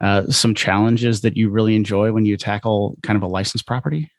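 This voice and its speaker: A man's voice: lowish voice, steady tone, fairly quick, no echo. A man hosting a podcast in a conversational style, speaking directly to guest and listeners. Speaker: podcast host.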